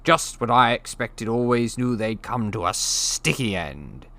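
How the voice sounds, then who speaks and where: A man's voice: mid-range voice, lively intonation, unhurried, sharp tone. A male reader acts out a spiteful middle-aged uncle from a storybook. He blusters like a bully, snarling with open contempt for whoever he addresses. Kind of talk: audiobook character voice.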